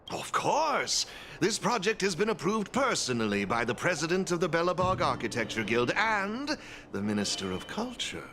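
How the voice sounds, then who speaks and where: An actor playing a flamboyant masked gentleman in a video game, formal, courteous and theatrical, like a showman. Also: a little high, gravelly, exaggerated voice. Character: flamboyant masked gentleman, smooth event sponsor and gaming enthusiast. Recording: background music throughout.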